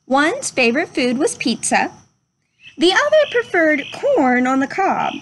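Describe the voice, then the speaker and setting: A woman's voice: high-pitched, big pitch swings, unhurried, fairly bright tone. A young adult woman reading and talking to children as a storyteller, in a simple, instructive way. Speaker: read-aloud storyteller for children. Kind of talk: children's picture book read-aloud and chat to young viewers. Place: outdoors under a covered wooden pavilion.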